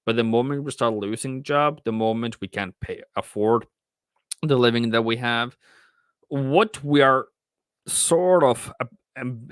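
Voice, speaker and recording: A man's voice: mid-range voice, a bit bright, smooth, no room sound. A man with a Norwegian accent, hosting a live trading stream, thinking aloud with many restarts and fillers and reading out chat. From Norway. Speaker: trading livestream host. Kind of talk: casual livestream commentary.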